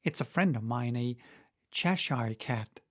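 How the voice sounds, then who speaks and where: A man's voice: medium pitch, expressive, a little slow, a bit bright, voice pushed down. A man voicing an earnest, polite young girl. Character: curious, polite young girl in a fantasy storybook.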